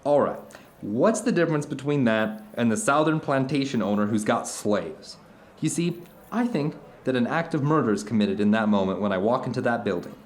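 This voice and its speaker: A man's voice: mid-range voice, moderate pace, lightly acted. A man playing an earnest philosophical friend in an audio drama, speaking emphatically and searchingly, often asking rhetorical questions. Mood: urgent, philosophical. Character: earnest, philosophical man telling anecdotes over dinner, urging his friend. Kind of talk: naturalistic audio drama dialogue.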